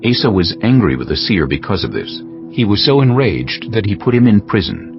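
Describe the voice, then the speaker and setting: A man narrating scripture as a scripted audiobook, in a solemn, measured storytelling manner. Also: lowish voice, very animated. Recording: dull sound with music bed.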